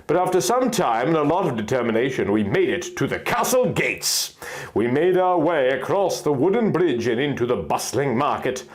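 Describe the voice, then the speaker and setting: A man's voice: mid-range voice, very animated, normal speed. A man narrating a first-person fantasy story in an earnest, theatrical storytelling manner.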